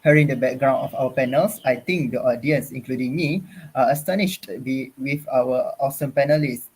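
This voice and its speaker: A man's voice: medium pitch, normal speed, darkish tone, slightly rough, low-quality recording. A young man from Malaysia moderating an online forum, speaking in a formal, ceremonious way over a video call.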